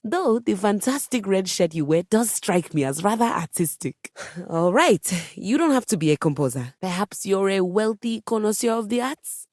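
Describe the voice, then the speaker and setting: A woman's voice: mid-range voice, mellow, no room sound, clean studio sound, natural voice. A woman voicing a haughty, theatrical piano in a dating game, speaking with refined, precise, dramatic diction and disdainful formality. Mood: indignant, dismayed. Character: haughty, theatrical female grand piano with wounded diva pride. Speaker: video game voice actor. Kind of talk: character dialogue for a dating simulator game.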